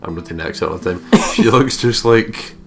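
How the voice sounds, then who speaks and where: A man's voice: lowish voice, expressive, fairly quick, vocal fry. A man hosting a let's play, reading a visual novel aloud with jokey, sweary asides. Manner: jokey, swears freely, breaks off into ad-libs. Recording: game music behind the voice.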